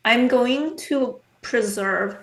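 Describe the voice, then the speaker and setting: A stern female vampire in a tabletop game, voiced by a woman who presses for answers in slow, measured sentences. The voice is fairly high and heard in an echoey room. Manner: measured, pointed questioning.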